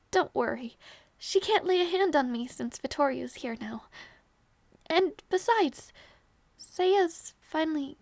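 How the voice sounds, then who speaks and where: A young princess voiced by a woman in a close, dry audio roleplay, high-pitched and slow. She speaks softly and regally, tender and wistful, with creaky edges to her measured phrases. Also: no room sound. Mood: wistful, brave. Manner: soft, measured, regal phrasing with small teasing turns. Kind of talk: intimate scripted audio roleplay acting.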